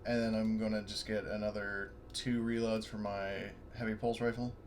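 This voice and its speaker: A man's voice: on the low side, little pitch movement, normal speed, slight background noise. A man playing a tabletop game, rambling with lots of fillers and restarts. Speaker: player. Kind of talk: casual tabletop game chat.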